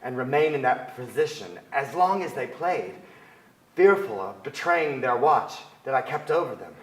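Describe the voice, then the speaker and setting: A man's voice: mid-range voice, expressive, moderate pace, fairly bright tone, natural voice. A sensitive writer in his forties confesses boyhood longing, acted by a man in a theatrical reading. His voice sits in a middle register and echoes through the auditorium. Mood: yearning confession. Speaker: actor in a staged reading. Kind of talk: theatrical literary narration. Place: large theater stage with audience. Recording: echoey theater stage sound.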